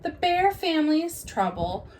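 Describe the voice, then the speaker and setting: Female storybook reader, teacher type. High-pitched, unhurried, expressive, with faint noise behind. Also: low-quality recording. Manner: expressive, deliberate storytelling delivery. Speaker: teacher reading aloud. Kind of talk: children's picture book read-aloud.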